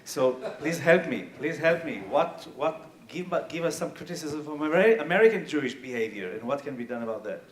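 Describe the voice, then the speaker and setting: A man's voice: fairly high pitch, lively intonation, brisk, hardly any pauses, roomy sound. A man moderating a conference panel, asking questions in a wry, pleading way.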